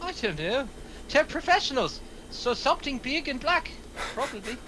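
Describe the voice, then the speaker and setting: A man acts out an anxious henchman at the wheel, with a very high, bright voice. He is exasperated and defensive, swearing through a film table read. Some noise sits behind him in the room. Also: very animated, moderate pace, exaggerated voice, low-quality recording. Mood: flustered. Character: anxious, rule-following male criminal henchman driving the getaway car.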